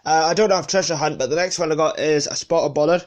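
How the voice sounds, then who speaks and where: A man's voice: fairly high pitch, fast talker, a little hiss or hum. A man with a sniffly cold casually presenting his collection unscripted, hesitating and correcting himself as he goes. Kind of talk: casual unscripted show-and-tell.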